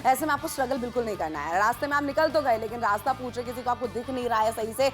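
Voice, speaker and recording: A woman from India hosting a live home shopping pitch, urgent and persuasive, talking straight to viewers. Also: rapid-fire. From Ghaziabad, India. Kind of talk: live teleshopping sales pitch. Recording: music under studio voice.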